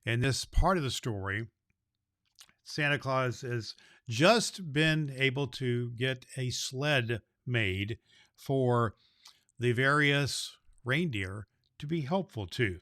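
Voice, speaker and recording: Man playing a bright-voiced, folksy jolly Santa Claus. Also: mid-range voice, lively intonation, takes it slowly, no echo, studio quality. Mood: explaining. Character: jolly big-hearted Santa Claus greeting children. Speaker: podcast host and storyteller. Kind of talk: children's holiday story podcast.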